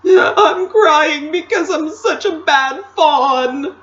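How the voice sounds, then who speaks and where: A woman voicing a sorrowful, guilt-ridden male fawn from a children's storybook, wailing and blaming himself in drawn-out phrases. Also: on the high side, lively intonation, a bit bright, highs cut off. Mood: sobbing, self-reproaching. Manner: drawn-out, wailing, self-reproaching.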